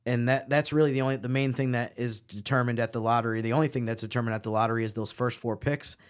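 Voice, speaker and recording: A man's voice: medium pitch, steady tone, fast talker, clean tone. A man co-hosting a sports podcast, giving casual analysis in a detailed, explanatory way.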